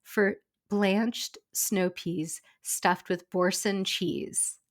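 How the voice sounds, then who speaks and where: A woman's voice: mid-range voice, fairly even intonation. Chatty woman podcast host, slightly stuffy. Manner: chatty, enthusiastic, quick asides. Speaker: former personal chef and caterer. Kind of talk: casual chat.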